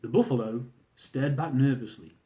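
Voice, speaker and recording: A man's voice: mid-range voice, normal speed, dark tone. A man reading his own humorous memoir aloud, telling the story wryly and speaking asides to his listeners. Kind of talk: audiobook-style reading of a travel memoir.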